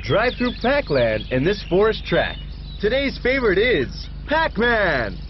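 A man playing an excited, showy race announcer in an arcade racing game, drawing out names for emphasis. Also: sits high, measured pace, slight background noise, exaggerated voice. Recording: muffled lo-fi game audio under loud music. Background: loud game music.

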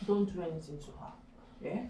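A woman's voice: lowish voice, fairly quick, warm tone, close to an everyday voice. A woman with a Nigerian accent playing a patient, soothing wife in a film drama. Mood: pleading. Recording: echoey room, distant mic, noisy.

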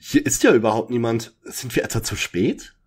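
A man's voice: medium pitch, very animated, moderate pace, husky, soft background music. A man playing a skeptical, sarcastic young detective in a radio play parody. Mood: brisk.

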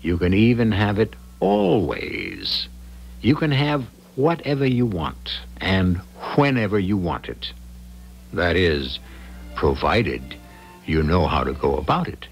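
A man with a lowish, gravelly voice narrates a 1970s radio mystery. His delivery is wry and theatrical, with clear music behind him. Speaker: host and narrator.

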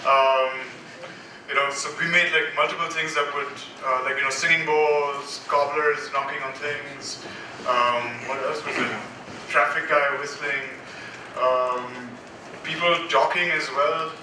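A man whose first language is Urdu chats on a panel in a loose, hesitant way, full of fillers, his voice a little high. He is heard from far off in a large hall with heavy echo. A noisy hum runs behind him. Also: fairly even intonation, measured pace. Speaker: panelist musician. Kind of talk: casual panel talk.

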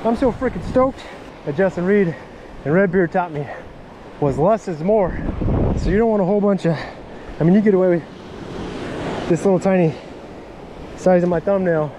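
A man talking casually to viewers in an excitable, folksy, slangy way, like a fishing vlogger, recorded outdoors on an action camera. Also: sits high, moderate pace, pauses often. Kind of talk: casual fishing vlog commentary. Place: outdoors on an open sea beach. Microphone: body-worn action camera. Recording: windy beach, surf and wind noise. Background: wind and breaking waves.

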